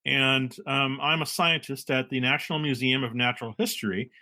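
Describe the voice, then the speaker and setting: Bright-voiced man explaining at length. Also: medium pitch, fairly even intonation. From San Francisco, California. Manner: measured, explanatory, with frequent uh and um. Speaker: marine biologist guest. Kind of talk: relaxed interview talk.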